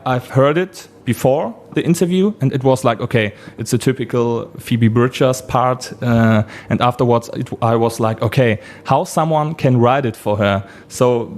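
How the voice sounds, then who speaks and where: A man asking interview questions in a halting, hesitant way. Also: mid-range voice, a little mellow. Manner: halting, hesitant phrasing in non-native English.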